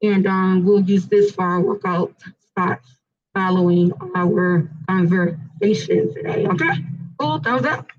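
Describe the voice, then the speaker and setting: A woman with a hoarse, raspy voice hosts a live fitness panel in an upbeat, chatty way, with plenty of fillers. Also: medium pitch, unhurried. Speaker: panel host and fitness trainer. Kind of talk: casual live panel moderation. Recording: dull lo-fi recording.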